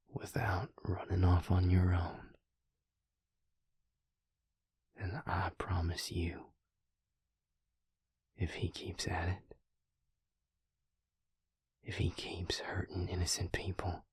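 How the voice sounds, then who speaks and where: An actor playing a protective, earnest vampire lover in an intimate audio roleplay, speaking in a breathy, husky whisper with a soothing, folksy drawl. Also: male voice, low-pitched, dry recording, exaggerated voice. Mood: earnest, resolute.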